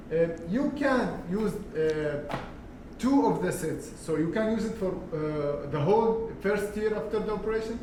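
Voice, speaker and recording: A man whose first language is Arabic, explaining a hair care product in English, halting, with many fillers and restarts. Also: sits high, darkish tone, slight background noise. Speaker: hair transplant consultant. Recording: echoey room, voice from far off.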